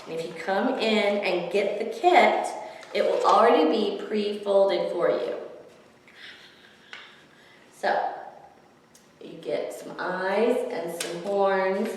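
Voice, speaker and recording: A woman's voice: mid-range voice, slow pace, long pauses, slight background noise. A woman hosting story time, narrating and explaining things simply for young children. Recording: echoey hall, distant microphone.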